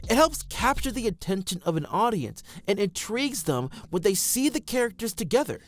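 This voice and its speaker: A man's voice: high voice, very animated, fairly quick. A man reading scripted fan commentary as a voice-over for a video essay, close on the microphone.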